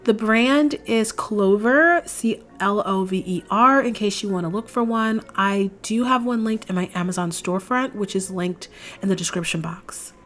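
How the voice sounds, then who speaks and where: A young woman hosting a DIY craft video, explaining each step in a chatty, relaxed way, her voice a little hoarse from a cold. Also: medium pitch, normal speed. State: slightly hoarse, recovering from a cold. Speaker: DIY craft channel host. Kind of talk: casual step-by-step craft tutorial voice-over. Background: faint background music.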